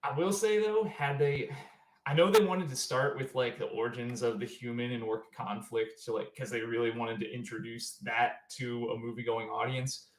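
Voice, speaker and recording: A man's voice: medium pitch, fairly even intonation, moderate pace, echoey room, poor audio. A man co-hosting a casual podcast, giving his opinions conversationally. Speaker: podcast co-host.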